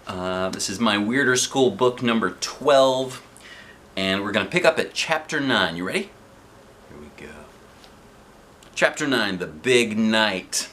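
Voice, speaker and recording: A man's voice: lowish voice, expressive, unhurried, fairly bright tone. A man reading a children's book aloud as a dad would, expressively acting out the story.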